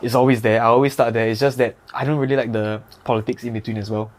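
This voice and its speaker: Fast-talking Singaporean man. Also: medium pitch, fairly even intonation. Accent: Singaporean English. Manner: quick, chatty, drops in Singlish particles. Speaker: rapper guest. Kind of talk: casual podcast conversation. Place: podcast studio.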